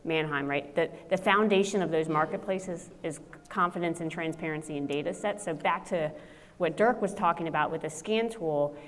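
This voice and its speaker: A woman's voice: fairly low pitch, in a large hall. A woman speaking on a conference panel, fluent and businesslike.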